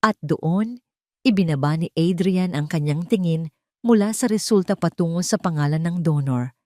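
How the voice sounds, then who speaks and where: Woman storyteller, lower-pitched voice, dry sound, scripted dramatic love-story narration. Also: normal speed, mellow, dry recording.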